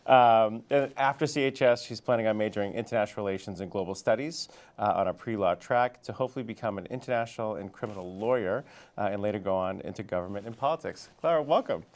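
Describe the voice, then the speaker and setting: A man's voice: fairly low pitch, fast. A middle-aged man, a school dean, introducing and interviewing a student in a friendly, loose way with many fillers.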